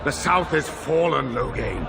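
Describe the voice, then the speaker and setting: An actor playing a grave, blunt provincial lord in a game cutscene, speaking formally. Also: male voice, medium pitch, lively intonation, measured pace, creaky, background noise. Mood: grave, challenging. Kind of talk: dramatic game cutscene acting. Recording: music behind the voice.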